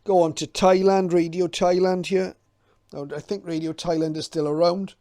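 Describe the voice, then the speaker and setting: A middle-aged man with a soft-edged, mellow voice that sits slightly high. He talks informally and unscripted, in a very level tone, pausing and hesitating like a hobbyist thinking back as he presents. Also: level tone.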